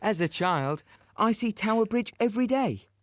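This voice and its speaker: Audio play: chatty young South London infantryman, chirpy, raised pitch, dry sound. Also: lively intonation, normal speed, dry recording. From Bermondsey, London. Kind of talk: audio play monologue, conversational reminiscence.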